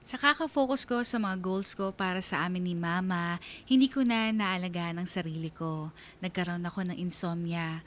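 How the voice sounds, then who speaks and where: A woman's voice: on the low side, moderate pace, natural voice. An actress playing a regretful, self-doubting young woman who narrates her own story in a confessional radio drama style. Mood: worried, reflective. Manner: confessional, reflective. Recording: music bed under the voice. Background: background music.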